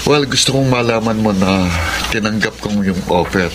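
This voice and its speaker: Man acting easygoing fitness instructor, fairly low voice, confident, friendly, Taglish, radio drama, noisy ambience. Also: normal speed, close to an everyday voice. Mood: glad. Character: friendly, confident male gym instructor, old acquaintance. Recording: noisy ambient scene sound. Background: clear ambient noise.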